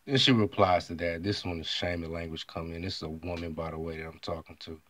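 A man from the United States talking straight to his audience about an online argument. He speaks emphatically and often clears his throat. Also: deep voice, steady tone, quick pace, a bit bright. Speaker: vlogger. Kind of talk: monologue retelling an online argument.

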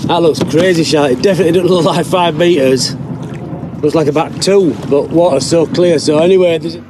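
A man's voice: a little high, a little fast. Man narrating steadily over sea wind. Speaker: sailing family vlogger, father. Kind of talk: casual narration while sailing. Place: outdoors on a sailboat at sea. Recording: wind and water noise.

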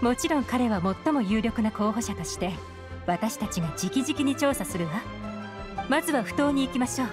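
Woman as a highish-voiced, composed spymaster. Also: animated, normal speed, natural voice. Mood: businesslike. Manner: composed, self-assured, crisp orders. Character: cool, composed, commanding young female intelligence chief. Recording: background music under the voice.

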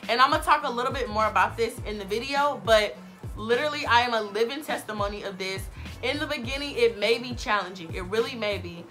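Young woman, slightly high voice, very bright tone, chatty and encouraging, sniffly from a cold, bedroom vlog. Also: fast talker, faint music behind. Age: young adult. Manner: chatty, encouraging, addresses viewers as you guys. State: recovering from illness, sniffly. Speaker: vlogger, college student. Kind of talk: casual advice vlog to camera. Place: bedroom, sitting on a bed.

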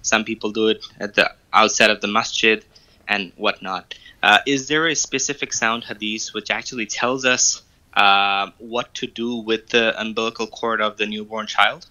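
A man's voice: on the low side, fairly even intonation, moderate pace, bright, forceful tone. A man from Bangladesh calling in to ask a question, polite and hesitant with many fillers, heard over a muffled call line. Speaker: caller. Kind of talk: phone-in question.

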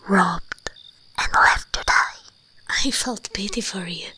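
A woman voicing an imperious, teasing vampire queen in a breathy ASMR roleplay whisper, commanding, condescending and seductive. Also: mid-range voice, pushed and bright, slight background noise, rough recording.

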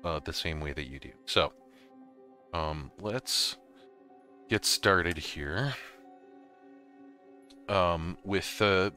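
A man narrating a casual gaming software tutorial, explaining things informally step by step. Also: sits low, very slow, long pauses, faint music behind. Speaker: host of a gaming tutorial series. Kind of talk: casual software tutorial narration over slides and screen capture.

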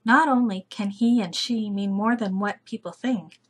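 A woman reading a children's picture book aloud as a storyteller, with deliberate, careful phrasing. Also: medium pitch, slightly rough.

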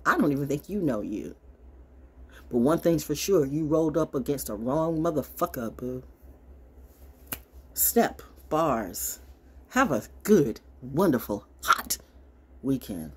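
An older woman vlogger ranting casually to camera in a brash, theatrical, confrontational way, with a husky voice, recorded on a phone in a small room. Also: deep voice, expressive, slow, pauses often, darkish tone. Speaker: online vlogger. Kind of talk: casual rant to camera with card reading. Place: small wood-panelled room indoors. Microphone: phone at arm's length.

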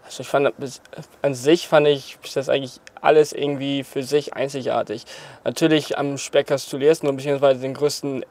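A man's voice: mid-range voice, steady tone, fairly quick, darkish tone. A young man in his twenties from Portugal, interviewed outdoors, talking casually and laughing often between phrases. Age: 20s. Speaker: interviewee, choreographer. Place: outdoors in front of a graffiti wall.

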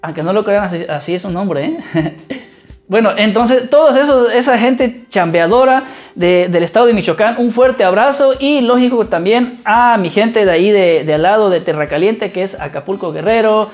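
A man from Mexico with a Mexican accent, chatting casually as a vlogger in an informal, halting, repetitive way. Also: sits high, faint music behind. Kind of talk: casual chat answering viewer questions.

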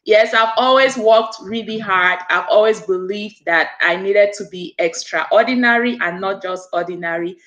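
A woman's voice: medium pitch, moderate pace, hardly any pauses, fairly bright tone, lo-fi sound. A woman in her forties from Cameroon, a business coach and author, giving an earnest, motivational account of herself as a guest on a video call. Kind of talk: interview guest telling her story. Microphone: webcam on a video call. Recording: echoey room over a video call.

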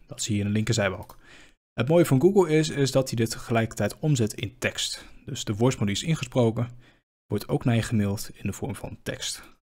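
Slightly husky man presenting a software tutorial. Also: medium pitch. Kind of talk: informal screen-share tutorial narration.

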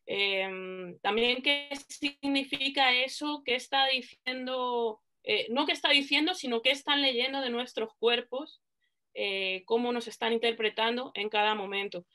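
A woman in her thirties, a panel guest, talking animatedly on a video call from a dim room at home, with some fillers and restarts. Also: a little high. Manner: animated, gestures while talking, halting with fillers. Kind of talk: casual chat on a video call. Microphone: laptop webcam on a video call.

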